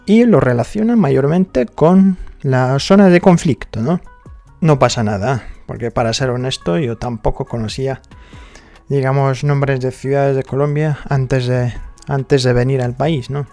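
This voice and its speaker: A man's voice: mid-range voice, a little slow, mellow, soft background music. A man from Morocco with a Moroccan accent, chatting casually in a vlog, peppering his talk with fillers and tag questions. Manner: conversational, many fillers like "digamos" and "¿no?". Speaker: vlogger.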